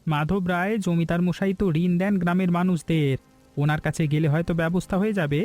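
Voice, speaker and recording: A man's voice: fairly high pitch, steady tone, fast talker, a little mellow, no room sound, close to an everyday voice. A man playing an eager, ambitious village business partner in a cartoon story, persuasive and respectful. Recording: background music throughout.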